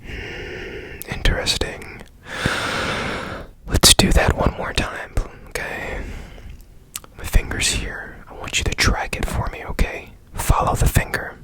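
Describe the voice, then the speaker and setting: A man whispering in a breathy, deliberate way up close to the mic, in an ASMR roleplay as a mysterious repairman. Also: sharp tone. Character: strange secretive man repairing a broken robot. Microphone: close ASMR microphone.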